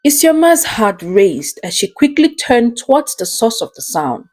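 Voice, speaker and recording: A woman narrating a scripted story in a deliberate, dramatic storytelling style. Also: a little high, very animated, a little mellow.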